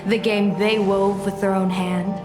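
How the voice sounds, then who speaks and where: Slow, composed woman strategist voiced by an actress. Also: medium pitch, little pitch movement, lightly acted. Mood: probing. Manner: measured, composed, formal. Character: calm, shrewd woman strategist with measured composure. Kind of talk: video game voice acting. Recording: echoey hall reverb, music behind.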